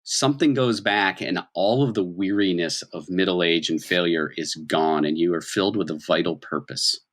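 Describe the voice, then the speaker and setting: Low-pitched man running a tabletop game. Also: moderate pace, fairly bright tone. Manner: dramatic second-person storytelling, conversational. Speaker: game master. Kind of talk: improvised tabletop game narration.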